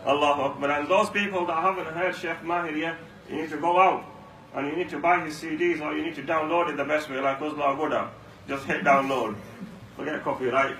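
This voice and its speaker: A man's voice: fairly high pitch, fairly even intonation, a little fast, pushed and bright. A man giving a Ramadan talk at a mosque, telling stories and repeating lines for emphasis. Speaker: mosque speaker. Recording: echoey room, mic far off, faint hum.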